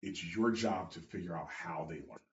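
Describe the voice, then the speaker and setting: Casting: blunt, cussing male host with a low voice, recorded in an echoey room. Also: moderate pace. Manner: blunt, swears, acts out quotes. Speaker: podcast host, jiu-jitsu instructor. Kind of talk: casual chat. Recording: roomy, slightly dull sound.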